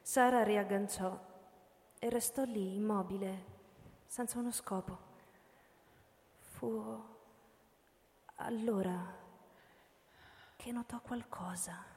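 A woman's voice: mid-range voice, steady tone, takes it slowly, long pauses, darkish tone. Female narrator, mid-range voice, dramatic literary reading, long hall reverb.

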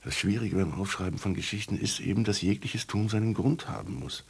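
Very husky, low-voiced grumbling writer. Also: male voice, fairly quick, full character voice. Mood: musing. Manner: muttering to himself, ruminative. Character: grumbling, musing male writer fond of red wine. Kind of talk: radio drama monologue.

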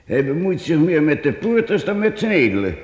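An actor playing a mild, musing medieval monk in a radio drama. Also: male voice, fairly high pitch, sing-song, a little fast, a little mellow. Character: kindly medieval monk, man, middle-aged. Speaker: priest. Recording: long reverb in the room.

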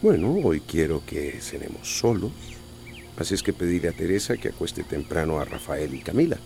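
An actor playing a weary, caring middle-aged small-town mayor with a Central American accent, in a radio soap opera. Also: male voice, low voice, expressive, normal speed, gravelly, mellow, slight background noise. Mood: tender, playful.

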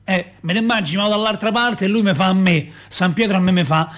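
An actor playing a talkative middle-aged working-class Roman father, a doorkeeper, in an expressive, rambling radio-play monologue with a Roman accent and a husky voice. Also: high-pitched, fast talker. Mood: exasperated. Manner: expressive, rambling, repeats himself and asks rhetorical questions. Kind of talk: theatrical radio monologue, colloquial storytelling.

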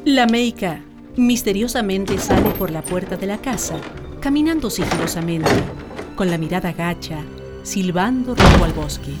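A woman's voice: mid-range voice, lively intonation, moderate pace. A woman narrating a scripted radio drama in a storytelling style. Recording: clear music bed under narration.

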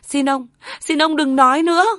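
A woman's voice: steady tone, normal speed, fairly bright tone, no echo, clean studio sound. Woman putting on a shrieking furious mother-in-law. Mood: stammering. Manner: shrill, scolding, wailing.

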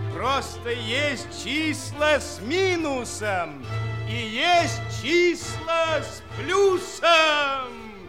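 A boisterous herald from a children's audio play, proclaiming rhymes in a very high, bright voice. He stretches his words out theatrically and very slowly, with faint music behind him in an old 1960s studio recording. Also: heavily acted. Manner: declamatory, theatrical, drawn-out proclaiming. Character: boisterous rhyming male tournament herald in a fairy tale.

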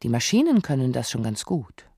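Radio essay narrator: medium-pitched, soft-edged and measured, with a very dry studio sound. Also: huge pitch swings, breathy edge, dry recording.

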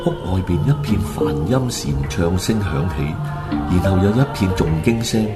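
A man's voice: low voice, lively intonation, moderate pace, over loud music. A man with a Hong Kong Cantonese accent narrating a scripted radio drama story.

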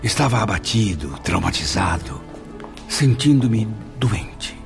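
A remorseful, reflective spirit. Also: male voice, lowish voice, big pitch swings, slow pace, heavily acted. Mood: solemn. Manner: reflective, solemn narration. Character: remorseful man, former suicide, recovering spirit. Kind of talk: radio drama acting and first-person narration. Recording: radio drama with clear music underneath.

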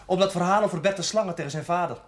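An actor playing a bitter, sneering young male prisoner, fairly high-voiced. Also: rapid-fire, close to an everyday voice. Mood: menacing. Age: young adult. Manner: sneering, sarcastic. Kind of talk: television drama acting.

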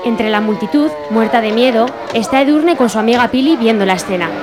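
A woman's voice: on the high side, fairly quick. A woman from narrating a scripted radio drama. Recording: narration over crowd noise.

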